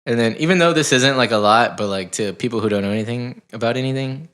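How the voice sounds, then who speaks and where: Young guy hosting a podcast, bright voice, rambles and jokes around. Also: mid-range voice, fast. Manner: rambling, playful. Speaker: podcast host. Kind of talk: casual chat.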